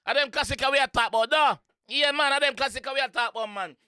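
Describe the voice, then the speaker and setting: A Jamaican host reacting to music in a casual, excitable, playful way, repeating phrases and singing along. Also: high voice, fast, smooth, dry recording, studio quality. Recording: music playing in the background.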